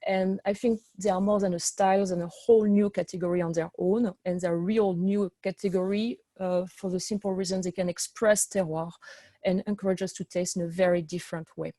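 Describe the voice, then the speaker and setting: A woman's voice: fairly low pitch, steady tone, a little fast, warm tone, dry recording. A middle-aged woman with a French accent, hosting an online wine seminar in a chatty, enthusiastic way full of fillers and restarts, heard over a video call. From France. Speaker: sommelier and webinar host.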